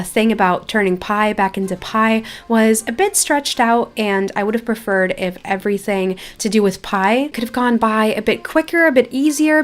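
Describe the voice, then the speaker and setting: A young woman giving enthusiastic, gushing commentary as she plays a video game. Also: a little high. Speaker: gaming video creator, host. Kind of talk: gameplay commentary, reading story dialogue aloud. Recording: music behind the voice.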